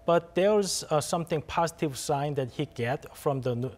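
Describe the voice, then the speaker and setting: Halting man giving news analysis. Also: on the high side, darkish tone. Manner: halting, repeats and restarts phrases. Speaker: studio guest analyst. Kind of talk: live news interview analysis.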